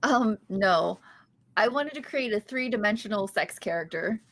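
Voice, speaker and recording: A woman's voice: medium pitch, expressive, a little slow, a bit bright. A woman reading an awkward, earnest young sitcom heroine at a comedy table read. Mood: awkward, eager. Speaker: guest reading the lead part at a table read.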